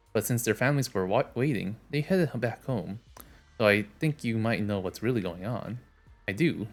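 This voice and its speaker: A man streaming a game reads its story text aloud in a casual, plain way, stumbling and restarting now and then. His voice sits at a middle pitch, with faint game music behind it. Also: darkish tone. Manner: casual, plain reading with small restarts and asides. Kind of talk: reading game dialogue aloud.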